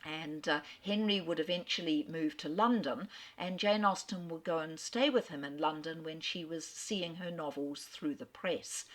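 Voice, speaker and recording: A woman's voice: low voice, normal speed, hardly any pauses. A woman from Australia telling literary history in an articulate, storytelling lecture manner, with the odd filler. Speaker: speaker on famous authors. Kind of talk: lecture-style literary talk.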